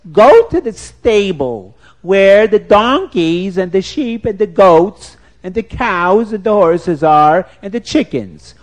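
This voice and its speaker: A man voicing a shrill, panicky woman who shrieks warnings in a drawn-out way. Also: heavily acted. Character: shrill frantic woman, a fierce man's wife.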